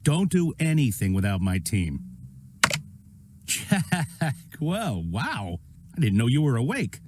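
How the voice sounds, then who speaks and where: Uneasy, lively-pitched deputy police chief. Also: male voice, takes it slowly. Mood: surprised, cautious. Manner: hesitant, deferential. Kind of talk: dramatic video game voice acting. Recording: faint background ambient noise.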